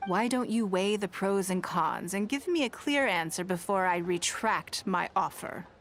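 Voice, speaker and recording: A woman's voice: medium pitch, animated, unhurried, fairly bright tone, faint music behind. An actress playing a shrewd, poised noblewoman in a video game, composed and authoritative. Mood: cool, threatening. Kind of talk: scripted video game dialogue.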